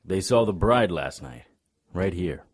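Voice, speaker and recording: A man playing a gruff, world-weary supernatural investigator in an audio drama, speaking in a wry, terse and insistent way. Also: sits low, animated, a little slow, heavily acted. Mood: wary, exasperated. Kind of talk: audio drama acting and first-person narration.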